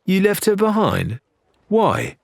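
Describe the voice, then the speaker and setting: A man voicing a proud, ambitious young woman pirate with a flat, supercilious, challenging manner in an audiobook. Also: high-pitched, takes it slowly, vocal fry, no echo, pitched above the performer's own voice, exaggerated voice. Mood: indignant. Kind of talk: audiobook character acting.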